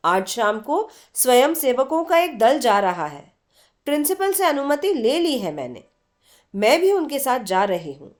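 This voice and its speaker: A woman storyteller acts out an earnest young woman volunteer from a storybook, affectionate and full of feeling. The voice is raised in pitch and moves expressively, at a slow pace. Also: very animated. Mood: determined. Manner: earnest, emotional.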